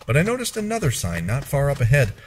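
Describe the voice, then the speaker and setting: A man narrating a first-person fantasy story in a measured, expressive storyteller's delivery. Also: medium pitch, a little hiss or hum.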